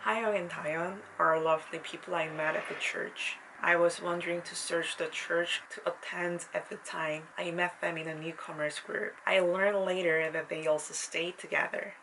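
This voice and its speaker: A woman in her thirties, born in South Korea with Korean as her first language, gives a slow, earnest, scripted personal narration in English. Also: low-pitched, a bit bright, slight background noise, rough recording. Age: 30s. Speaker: vlogger.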